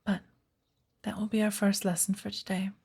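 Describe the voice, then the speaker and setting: Woman playing a husky, hushed woodland witch mentor. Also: medium pitch, flat delivery. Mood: patient, instructive. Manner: measured, deliberate, formal, often hushed and breathy. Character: patient witch mentor of the woods, gently teaching magic. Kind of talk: ASMR roleplay.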